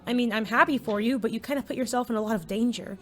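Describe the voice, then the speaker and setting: A woman's voice: fairly high pitch, fast, lightly acted. Woman playing a cautious, dry-voiced teenage friend. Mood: concerned, dry. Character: cautious, worried teenage best friend, laid-back student. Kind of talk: casual conversational audio drama acting.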